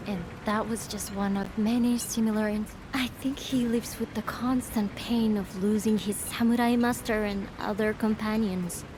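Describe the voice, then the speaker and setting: An actress playing a gentle, thoughtful townswoman in a video game, speaking reflectively and with care. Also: mid-range voice, noisy background, lightly acted. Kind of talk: video game cutscene voice acting.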